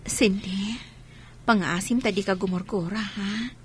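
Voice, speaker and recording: A woman playing a heartbroken, gentle young mother in an Ilocano audio drama, speaking in a sorrowful, pleading way. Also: medium pitch, steady tone, measured pace, slight background noise, lightly acted. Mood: tearful, consoling. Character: heartbroken, gentle young mother leaving her marital home.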